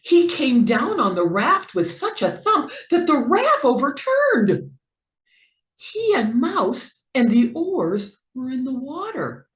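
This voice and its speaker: A woman reading a children's story aloud with careful, expressive narration. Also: sits high, takes it slowly. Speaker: storybook reader. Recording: echoey room.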